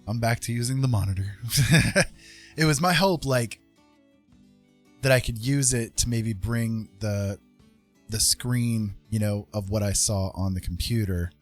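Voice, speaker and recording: A man hosting a livestream, chatting casually and telling personal stories in a rambling, informal way. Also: on the low side. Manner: rambling, informal, frequent "like" and "you know". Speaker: livestream host. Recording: soft music behind the voice.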